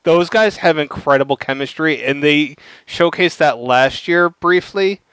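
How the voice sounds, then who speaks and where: Co-host, man, somewhat high voice, smooth and dry, wry humor. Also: measured pace, a bit bright, no room sound. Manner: wry, joking. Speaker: podcast co-host. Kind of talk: casual wrestling chat.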